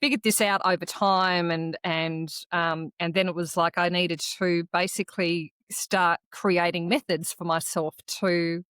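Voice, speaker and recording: A woman whose was Croatian, co-hosting a podcast and telling a personal story in an earnest, reflective way with halting restarts. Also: fairly low pitch, steady tone, a bit bright, clear, resonant tone, no room sound. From Australia. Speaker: podcast co-host. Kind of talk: casual conversational podcast chat.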